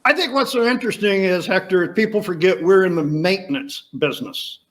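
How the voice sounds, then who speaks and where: A man's voice: sits high, brisk. A man hosting a podcast over a video call, talking emphatically with rhetorical questions. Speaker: podcast host. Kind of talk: casual interview chat.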